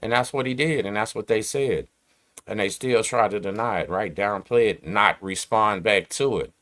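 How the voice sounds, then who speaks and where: A man's voice: on the low side, moderate pace, a bit bright. A man, hosting his channel and ranting to camera in emphatic streetwise slang. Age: 40s. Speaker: host. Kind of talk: casual rant to camera.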